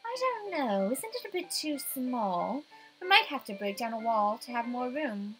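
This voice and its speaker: A woman's voice: on the high side, very animated, a little slow, soft background music, low-quality recording. A woman voicing a wealthy male house buyer in a visual novel, skeptical and questioning in a clipped way. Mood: doubtful.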